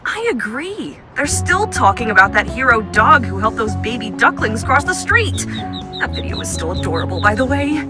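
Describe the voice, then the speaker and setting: A woman's voice: a little high, sing-song, moderate pace. A young woman acting in an audio drama as a sarcastic, teasing superhero sidekick who builds gadgets. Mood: playful teasing. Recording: loud music bed behind voice.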